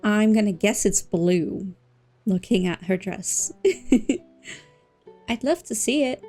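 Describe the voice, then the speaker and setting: A woman's voice: medium pitch, expressive, takes it slowly, dark tone. A woman livestreamer giving casual, cooing, reactive commentary as she plays a game. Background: game music.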